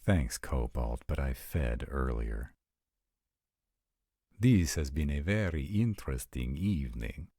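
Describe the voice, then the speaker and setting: A man playing a haughty, commanding vampire in a roleplay audio drama, speaking in a formal, measured and imperious way. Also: very deep voice, animated, mellow, no room sound, studio quality, full character voice. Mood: smooth, wry.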